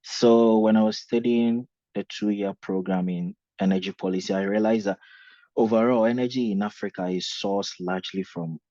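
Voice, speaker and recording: A man's voice: lowish voice, fairly even intonation, moderate pace, warm tone, no room sound, lo-fi sound. A young man from Ghana giving a conference talk as a guest speaker, earnest and humble, with frequent fillers. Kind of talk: conference presentation.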